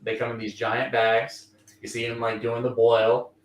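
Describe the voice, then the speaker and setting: A man's voice: medium pitch, completely flat delivery, moderate pace, recorded from a distance. A man from Louisiana hosting a casual comic book livestream, recapping stories in a rambling way with lots of fillers. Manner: rambling, filler-heavy recap with playful quoted voices. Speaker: comic book show host. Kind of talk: casual livestream review chat. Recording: roomy sound from away from the mic.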